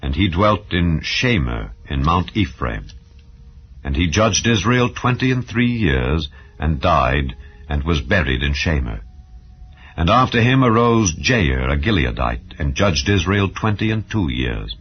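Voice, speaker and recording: Male narrator for dramatized Bible audio: very low pitch, solemn, measured, slightly dull recording. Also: big pitch swings. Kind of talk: dramatized scripture reading. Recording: dull, muffled lo-fi sound.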